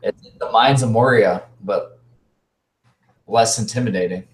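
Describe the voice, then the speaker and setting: Low-voiced player in an echoey room. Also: male voice, measured pace. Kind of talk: casual tabletop game chat.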